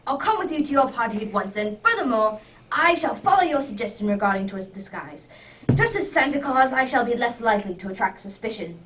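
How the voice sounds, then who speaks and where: A woman plays a hearty gentleman detective in a radio drama, speaking high and with big swings of pitch. The manner is exclamatory and courteous, heard slightly off the mic with some faint noise. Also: expressive, brisk, distant mic, lightly acted. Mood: keen, urgent.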